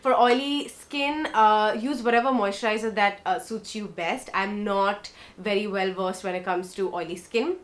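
A woman's voice: mid-range voice, fairly even intonation, moderate pace, hardly any pauses. A young woman from India with a South Indian accent, chatting casually through a makeup tutorial in her bedroom and slipping in Hindi. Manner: chatty and warm, mixing English with Hindi. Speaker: beauty vlogger. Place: bedroom, indoors.

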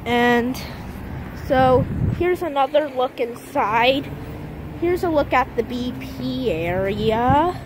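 A high-voiced woman narrates a casual walk-around video as she films. She speaks slowly and haltingly, often leaving sentences unfinished. The handheld outdoor recording has clear background noise. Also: animated. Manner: halting, trailing off mid-sentence. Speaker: amateur vlogger. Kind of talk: casual walk-through commentary. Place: outdoors in an empty parking lot. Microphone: handheld camera held by the speaker. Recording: noisy outdoor handheld camera audio.

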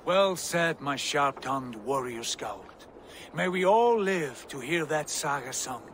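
An actor playing a confident, commanding Viking jarl in a game drama, with a lordly, declamatory way of talking. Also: male voice, fairly high pitch, expressive, slow pace, fairly bright tone, slight background noise. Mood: hearty. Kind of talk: cinematic video game drama.

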